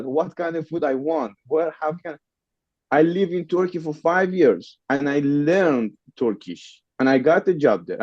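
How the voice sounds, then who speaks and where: A man's voice: on the high side, a little mellow, no echo, highs cut off. Podcast guest, man, Persian accent, non-native English, repeats lines for emphasis. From Middle East. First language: Farsi. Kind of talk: casual interview storytelling.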